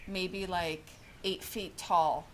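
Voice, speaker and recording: Woman from venezuela, lowish voice, slow searching talk, noisy background. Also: steady tone. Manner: slow, searching, vivid imagery. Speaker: retreat guest. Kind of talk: reflective testimonial.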